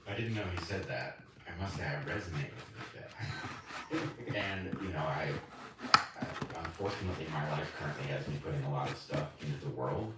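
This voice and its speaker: Reflective male guest with a very deep voice, recorded from a distance in an echoey room. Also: fairly quick. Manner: reflective, loose, filler-heavy. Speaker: podcast guest. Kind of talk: casual conversation. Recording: echoey room, distant mic, loud noise.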